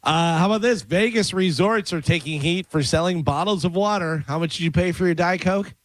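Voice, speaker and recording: A middle-aged man from Chicago hosting a radio show, telling animated stories and reading headlines between jokes. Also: high-pitched, brisk. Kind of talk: casual morning radio banter. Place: radio studio. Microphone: studio microphone.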